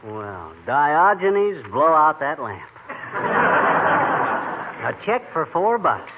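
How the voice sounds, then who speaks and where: A man's voice: a little high, big pitch swings, drawn-out words, full character voice. A man with an American accent playing a grumbling, blustering, folksy middle-aged husband in an old radio comedy, with slangy exaggeration. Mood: wisecracking. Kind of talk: 1940s radio comedy acting. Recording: tinny, noisy old-time radio recording.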